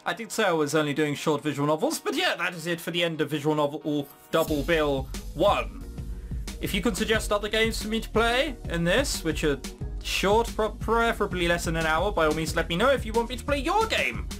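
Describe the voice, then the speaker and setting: A man's voice: high voice, expressive, brisk, fairly bright tone, faint music behind. A man hosting a gaming video, reading game text aloud and commenting on it casually with sarcastic, incredulous, rambling asides.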